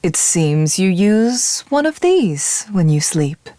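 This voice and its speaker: A woman voicing a proud, cold warrior commander in a video game, speaking in a formal, commanding and measured way. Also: lowish voice, big pitch swings, warm tone, no echo. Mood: solemn, measured. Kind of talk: video game character voice acting.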